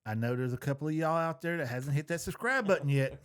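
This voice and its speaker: A man's voice: on the high side, animated, fast talker, clear, resonant tone. A middle-aged man chatting casually as a podcast co-host, folksy, opinionated and free with swearing. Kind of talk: casual chat.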